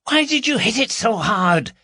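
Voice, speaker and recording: A man's voice: extremely high pitch, very animated, moderate pace, sharp tone, no room sound, studio quality, voice pushed up, full character voice. A man voicing an anxious, foul-mouthed woman burglar in an audiobook, urgent and complaining. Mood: angry scolding.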